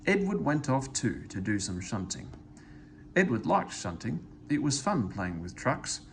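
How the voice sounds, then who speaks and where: Guy reading a kids book out loud, really expressive, pitch moves a lot, bit of background noise. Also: measured pace, vocal fry. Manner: expressive, animated. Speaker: storybook reader. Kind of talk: children's story read-aloud.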